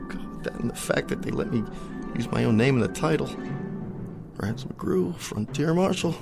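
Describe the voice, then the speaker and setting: An actor playing a vain, panicky television cowboy star who babbles theatrically. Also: male voice, medium pitch, lively intonation, normal speed, gravelly. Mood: complaining. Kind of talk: radio drama acting. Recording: radio drama with music behind.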